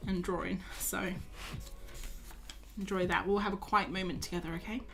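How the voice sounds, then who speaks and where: A woman's voice: mid-range voice, fairly even intonation, moderate pace, vocal fry, music in the background. A young woman, tired and losing her voice, chatting casually with viewers as she paints on a live stream. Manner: chatty, self-mocking, talks to viewers while drawing. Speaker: art streamer.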